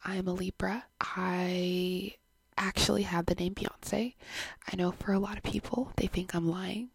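A woman's voice: on the low side, fairly even intonation, a little slow, gravelly, slightly rough, dry recording. A woman in her twenties from Canada, hosting her own podcast in a chatty, giggly, self-deprecating way, recorded at home on her own microphone. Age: 20s. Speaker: podcast host. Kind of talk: casual chat.

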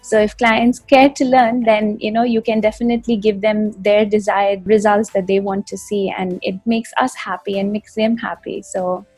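A woman host who trains clients herself, talking warmly affirming and rambling with many restarts. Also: medium pitch, level tone, normal speed, hardly any pauses, no echo, soft background music. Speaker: fitness podcast host. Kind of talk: conversational interview.

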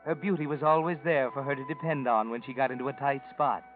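A man's voice: medium pitch, fairly even intonation, fast talker, music in the background, natural voice. Male actor as a shadowy 1940s radio narrator, solemn and ominous, tinny broadcast sound. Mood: knowing. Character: mysterious brooding male night-walking narrator.

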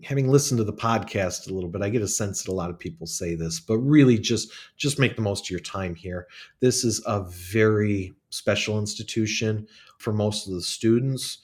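Young faculty guy, lowish voice, relaxed and talkative, fillers and chuckles. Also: moderate pace, husky. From northern New York State. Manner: friendly, rambling, with fillers and chuckles. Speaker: law school faculty member, podcast guest. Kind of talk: casual interview answers.

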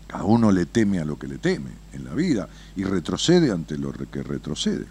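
A man's voice: low voice, huge pitch swings, normal speed, vocal fry, darkish tone, slight background noise. A man, hosting a radio show, telling a parable with dramatic whispers and swells, then chatting with a caller. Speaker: radio host.

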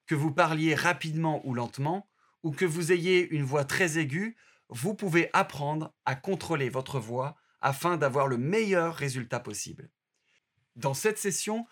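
Male narrator, a touch high-pitched, reads course material in a steady, teacherly way. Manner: steady instructive reading. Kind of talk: scripted narration.

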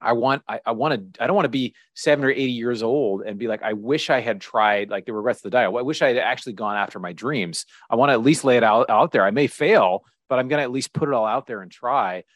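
Fast-talking man in his forties. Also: mid-range voice, no room sound, clean studio sound. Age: 40s. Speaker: podcast host. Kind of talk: casual interview chat.

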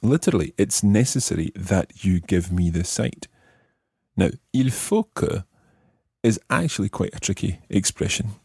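A man hosting a language lesson, explaining in a deliberate way and repeating phrases word by word. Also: low-pitched, lively intonation, darkish tone, slightly rough, dry recording, clean studio sound. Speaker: language teacher and podcast host.

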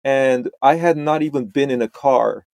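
A middle-aged man from Romania, a podcast guest, talking in a halting way with many restarts and repeated words. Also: mid-range voice, vocal fry, a little mellow. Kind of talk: conversational interview.

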